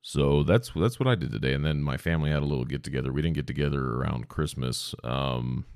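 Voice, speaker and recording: A man's voice: rumbling low, fast talker, darkish tone, clean tone, no room sound, studio quality. A man hosting a solo podcast. He talks in a rambling, casual, self-deprecating way with some swearing, and sounds congested and stuffy-nosed from a sinus cold. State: sore throat from long talking. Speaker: podcast host.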